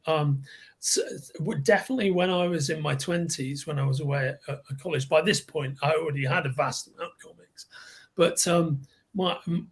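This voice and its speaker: A man in his fifties from with a slightly raspy voice, a podcast guest who rambles and repeats words while he recalls. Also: fairly high pitch, a bit bright. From Liverpool, England. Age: 50s. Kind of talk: casual podcast chat.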